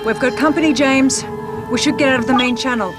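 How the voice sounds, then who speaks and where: An actress plays a confident, teasing Australian woman agent in a cinematic spy game. Her voice is high and her delivery brisk and playful. Loud music runs behind her. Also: lightly acted. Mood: alert, wary. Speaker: intelligence agent. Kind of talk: cinematic video game acting. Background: music, vehicle noise.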